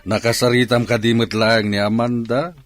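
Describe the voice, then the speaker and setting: A man's voice: medium pitch, flat delivery, moderate pace, soft background music, natural voice. An actor playing a scheming older businessman and father in an Ilocano radio drama. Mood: demanding, displeased.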